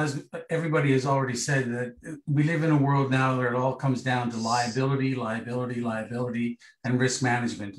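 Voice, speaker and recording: A male election candidate answers a question over a video call, stopping and restarting his sentences often. His voice sits at an ordinary pitch. The room around him rings with echo. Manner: halting, with many restarts and fillers.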